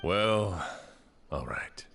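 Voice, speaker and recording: Deep-voiced, steady sheriff. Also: male voice, extremely slow, gravelly, exaggerated voice. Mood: solemn, approving. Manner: firm, earnest, official. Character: steady, fair-minded male sheriff in authority. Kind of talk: video game voice acting.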